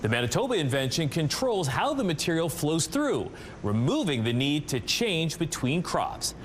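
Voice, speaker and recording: Canadian male news anchor, higher-pitched and lively. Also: moderate pace, slight background noise. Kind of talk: scripted news reading. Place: TV news studio.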